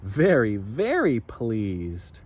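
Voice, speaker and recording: A man reading a children's story aloud expressively, with dramatic pauses and asides to listeners. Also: on the low side, wildly swooping pitch. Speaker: storybook reader.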